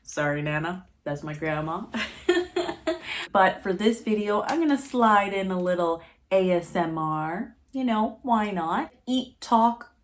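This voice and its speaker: A woman's voice: mid-range voice, expressive, unhurried. A woman, chatting playfully to camera while snacking and laughing often. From Montreal, Canada. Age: 20s. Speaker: vlogger. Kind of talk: casual chat to camera while eating.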